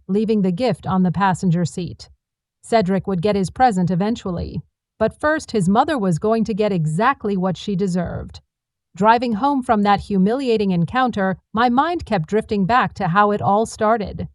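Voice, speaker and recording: A woman's voice: medium pitch, dry recording. Woman narrating a dramatic story, dry sound. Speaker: narrator of a first-person family drama story.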